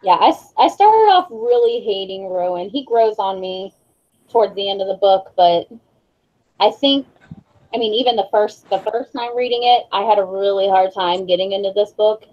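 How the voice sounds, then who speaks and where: A woman's voice: medium pitch, lively intonation, normal speed. A woman hosting a casual book discussion on a group video call, speaking in an informal, chatty way. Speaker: book club discussion host. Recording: dull lo-fi video call audio.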